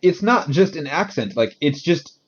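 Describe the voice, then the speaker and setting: Man, English teacher, husky voice, muffled lo-fi sound, frustrated casual chat. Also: fairly high pitch, normal speed. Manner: frustrated, animated explaining.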